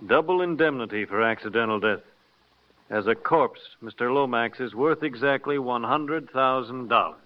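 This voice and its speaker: A man's voice: medium pitch, normal speed, lightly acted. A man playing a smug, evasive lawyer, speaking in a dry, precise way in an old radio drama. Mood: smug, cool. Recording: muffled vintage radio, faint noise.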